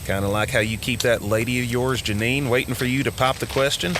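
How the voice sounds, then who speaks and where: An actor playing a chatty, teasing, excitable small-town American deputy with a folksy, colloquial manner in an audio drama. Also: male voice, mid-range voice, brisk, background noise, lightly acted. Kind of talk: full-cast audio drama acting. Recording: music bed under the dialogue.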